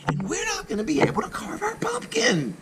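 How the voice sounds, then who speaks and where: A man voicing a bold, upset young girl in a very high, breathy voice. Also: wildly swooping pitch, brisk, crowd noise, full character voice. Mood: upset, pleading.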